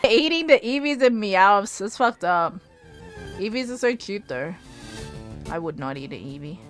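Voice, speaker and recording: A woman's voice: medium pitch, expressive, measured pace, a bit bright, soft background music. A woman streaming a game live, rambling and giggling with her viewers while she tires and starts losing her voice. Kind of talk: casual live-stream chat while gaming.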